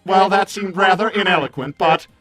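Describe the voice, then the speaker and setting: Prim robot butler type, played by a man: high voice, wordy and vain, formal with a sardonic edge. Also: normal speed, music in the background, natural voice. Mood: prim, dry. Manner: fussy, formal, mildly sardonic. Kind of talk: improvised in-character livestream roleplay.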